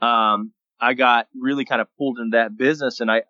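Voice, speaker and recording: A man in his thirties from Texas, a guest telling his story in a relaxed, rambling way on a podcast. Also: medium pitch, brisk, no echo. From San Antonio, Texas, USA. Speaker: guest, daily vlogger and entrepreneur. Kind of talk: casual podcast interview. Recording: dull lo-fi dry sound.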